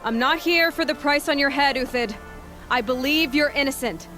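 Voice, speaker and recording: An actress playing a young huntress in a video game, direct, guarded and determined, with a dry manner. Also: female voice, high voice, normal speed, over music, lightly acted. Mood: earnest, insistent. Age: young adult.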